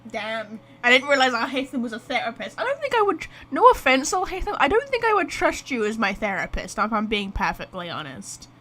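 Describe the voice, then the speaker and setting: High-voiced, excitable woman game streamer. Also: brisk, few pauses. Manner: rambling, excitable, blunt. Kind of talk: casual live reaction commentary.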